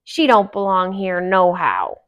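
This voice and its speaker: Woman reading, doing a gruff old dwarf: sour, blunt, a touch lower than her own voice. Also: sing-song, a little slow, a bit bright.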